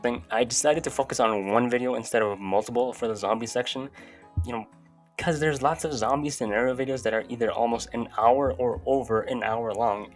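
Young man, irreverent, music behind. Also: medium pitch. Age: young adult. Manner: irreverent, sweary. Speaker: YouTube video host. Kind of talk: casual video commentary. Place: small bedroom, indoors. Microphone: close desk microphone. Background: faint music.

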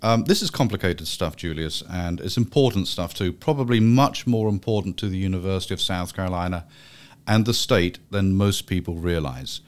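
A middle-aged man hosting an interview podcast in a small office room, speaking in a measured, formal way into a studio mic. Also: low-pitched. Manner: measured, formal delivery with fillers in chat. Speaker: podcast host. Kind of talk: scripted intro then interview. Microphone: studio mic with pop filter.

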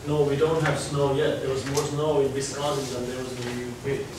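A man's voice: medium pitch, little pitch movement, fairly quick. A man in his forties from Finland with a Finnish accent, giving a chatty guest lecture to students in a large lecture hall. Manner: chatty, conversational, restarts phrases. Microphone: far camera, no mic seen. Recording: echoey hall, distant mic, background noise.

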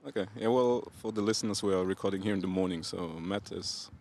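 A man's voice: sits low, fairly even intonation, fast talker, gravelly, a little mellow. A man hosting a podcast interview outdoors, speaking hesitantly with many fillers. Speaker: podcast host. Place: outdoors in front of a venue.

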